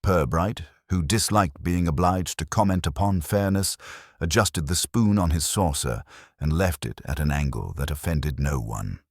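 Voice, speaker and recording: A man's voice: extremely low pitch, moderate pace, slightly rough, no room sound, studio quality. A man reading scripted mystery fiction as an audiobook narrator.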